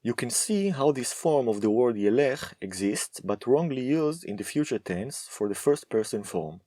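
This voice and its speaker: A man teaching a Hebrew lesson, speaking slowly and deliberately with firm emphasis. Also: mid-range voice, lively intonation, dark tone, no room sound. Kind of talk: scripted lesson to camera.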